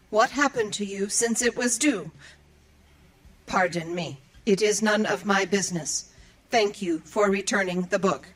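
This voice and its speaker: A woman playing a dutiful, earnest android librarian in an audio drama, speaking in formal, precise, procedural phrases. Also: a little high. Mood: flustered, precise. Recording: music behind the voice. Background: music.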